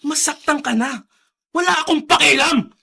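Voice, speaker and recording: An actor playing a bitter, furious adult son in a Filipino radio drama, shouting with scorn and overwrought emotion. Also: male voice, very high-pitched, moderate pace, vocal fry, heavily acted. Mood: scornful.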